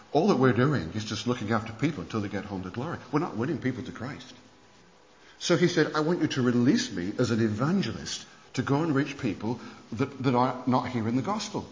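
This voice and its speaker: A man's voice: medium pitch, slight background noise. Male preacher in an echoing hall. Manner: animated storytelling with many restarts. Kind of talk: sermon lecture on church history. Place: conference hall. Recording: muffled hall recording with long reverb.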